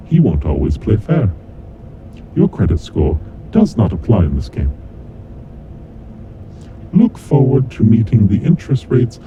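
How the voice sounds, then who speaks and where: A man playing a coldly vengeful kidnapper mastermind in a horror audio drama, announcing deadly rules in a deliberate, formal, taunting way. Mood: formal, sardonic. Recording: dull lo-fi audio with clear rumbling noise.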